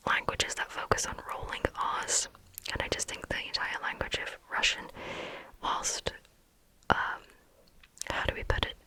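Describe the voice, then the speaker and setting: A woman answering viewer questions in a frank, chatty ASMR whisper, often pausing on "um". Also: bright, forceful tone, low-quality recording. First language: English.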